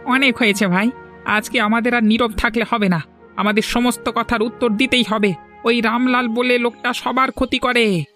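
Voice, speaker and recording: Man voicing an angry, sharp, high-pitched village man. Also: steady tone, normal speed, soft background music. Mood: angry, threatening. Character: angry, threatening village man, loyal friend. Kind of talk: cartoon voice acting.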